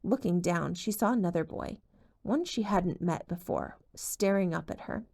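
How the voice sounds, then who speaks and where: A woman narrates a novel aloud as an audiobook, her voice sitting on the lower side. She tells the story expressively and keeps it moving. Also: moderate pace, gravelly, mellow. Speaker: audiobook reader.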